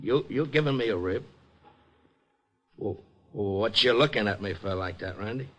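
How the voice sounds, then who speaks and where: An actor playing a gentle, childlike, punch-drunk former boxing champ who stammers and repeats himself in slangy 1950s radio drama style. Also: male voice, fairly low pitch, normal speed, dull audio. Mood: puzzled, friendly. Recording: vintage 1950s radio recording, noisy.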